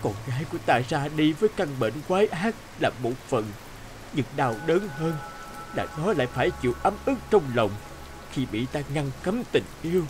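An actor playing a lonely, remorseful old father in an animated drama, speaking in an emotional, sorrowful way. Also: a little high, normal speed, music in the background, rough recording. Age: older.

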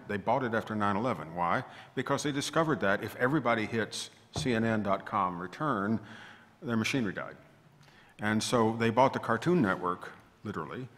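A man's voice: lowish voice, a bit bright. A middle-aged man speaking on a conference panel, thoughtful and digressive, restarting his sentences. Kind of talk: panel discussion. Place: large conference hall. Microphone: table microphone. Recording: reverberant conference hall.

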